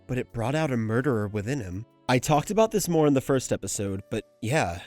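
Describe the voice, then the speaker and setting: Animated man narrating a video essay, dry sound. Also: mid-range voice, no echo. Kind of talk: scripted analysis narration with casual asides.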